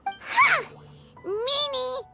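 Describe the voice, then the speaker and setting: A woman voicing a tiny, childlike fairy companion in a video game, squeaky, excitable and cheeky, with exaggerated delivery. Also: extremely slow, vocal fry, over loud music, heavily acted. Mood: indignant, playful. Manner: exaggerated, refers to herself in third person. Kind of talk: video game cartoon voice acting.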